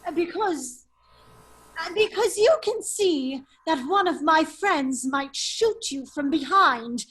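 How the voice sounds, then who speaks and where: A woman as a high-voiced, foppish English nobleman. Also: animated, slow pace, a bit bright, heavily acted, poor audio. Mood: sly, teasing. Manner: teasing, drawling, hesitant stammer, chuckling. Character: foppish, laughing English nobleman, a cunning hero in disguise. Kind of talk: amateur radio play table read. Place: remote virtual table read.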